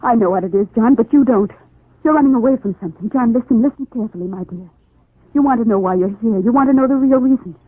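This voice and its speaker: A woman's voice: a little high, husky. Pleading woman in love, insistent, intense, old radio soap, echoey thin sound. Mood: urgent, confronting. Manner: intense, imploring, deliberate. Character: lovelorn, insistent woman pleading with the man she loves. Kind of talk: 1940s radio soap opera acting. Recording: tinny, echoey 1940s radio recording.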